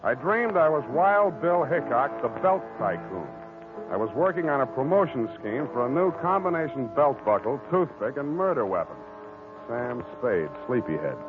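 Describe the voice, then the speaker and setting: A man acting a wry, weary hard-boiled detective in a deadpan, clipped 1940s radio drama style. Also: mid-range voice, normal speed, lightly acted. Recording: muffled old radio recording with music.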